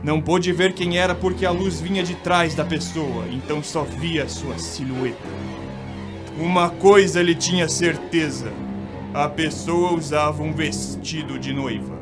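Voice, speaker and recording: A man's voice: a little high, fairly even intonation, measured pace. A man with a Brazilian accent giving dramatic scripted narration of a ghost story. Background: music.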